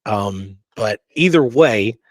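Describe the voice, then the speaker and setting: A man's voice: on the low side, animated, slow pace, no room sound, clean studio sound. A man hosting a history show, talking casually as he discusses research on a live call.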